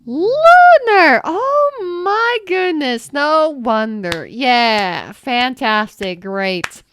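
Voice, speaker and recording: A woman's voice: sits high, drawn-out words, slight background noise. Laid-back woman reacting to viewer comments. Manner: animated reactions with laughs and mild swearing. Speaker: channel host answering viewer questions. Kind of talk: casual reading and reacting to comments.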